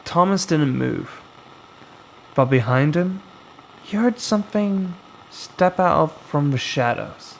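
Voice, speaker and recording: A man narrating a scripted horror story as its storyteller, in a dramatic, suspenseful way with long pauses. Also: a little high, lively intonation, creaky, slight background noise, low-quality recording. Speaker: storyteller, host of a horror anthology.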